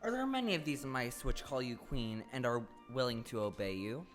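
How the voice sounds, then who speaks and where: A woman's voice: very deep voice, animated, normal speed. A woman playing an earnest, friendly, take-charge scarecrow in a stage audio play, with an emphatic, urging delivery. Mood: earnest, encouraging. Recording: live stage recording with music.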